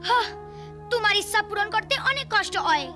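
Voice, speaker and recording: A woman's voice: extremely high pitch, animated, a little fast, over music. A woman voicing a reluctant, whiny little village boy with a Bangladeshi accent in an educational cartoon. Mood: grumbling. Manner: whiny, complaining.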